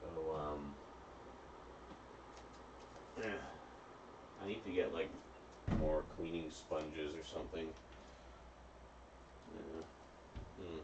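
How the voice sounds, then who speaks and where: A man with a very low voice talks in a relaxed, rambling way while he cleans his kitchen. He chats with his viewers and fills the gaps with loose fillers. Steady background noise sits under the home recording. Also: drawn-out words, pauses often, recorded from a distance. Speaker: streamer cooking and cleaning. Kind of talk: casual live-stream chat.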